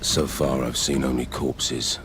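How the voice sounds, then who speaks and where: Very deep-voiced grim warrior. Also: male voice, flat delivery, a little slow, very noisy. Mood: cool, practical. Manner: terse, cold, unsentimental. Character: grim, blunt warrior freed from prison, deep-voiced man. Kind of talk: video game cutscene acting. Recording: loud music over the voice.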